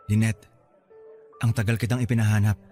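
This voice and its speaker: A man's voice: on the low side, flat delivery, brisk, mellow, faint music behind, close to an everyday voice. Man acting a pleading middle-aged Filipino father. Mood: gentle pleading. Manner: earnest, pleading. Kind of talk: radio drama acting.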